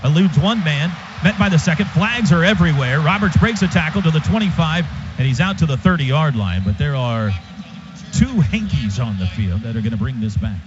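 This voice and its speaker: A man's voice: fairly high pitch, big pitch swings, fairly quick. A man doing live radio play-by-play of American football in clipped, descriptive phrases. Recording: dull radio broadcast with clear background noise.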